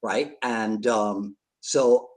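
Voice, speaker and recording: A man from Barbados, hosting a casual live-stream chat, jokey and quick to laugh, often repeating words as he goes. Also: on the low side, steady tone. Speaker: podcast host.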